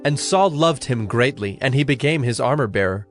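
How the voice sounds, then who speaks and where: A man narrating a scripted Bible story in a formal, measured storytelling manner. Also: mid-range voice, lively intonation. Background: music.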